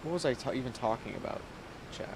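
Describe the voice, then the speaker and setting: A man's voice: medium pitch, quick pace, creaky, noisy background. A man chatting casually to livestream viewers in a rambling, slangy way. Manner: rambling, informal slangy talk. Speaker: game livestreamer. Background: background music.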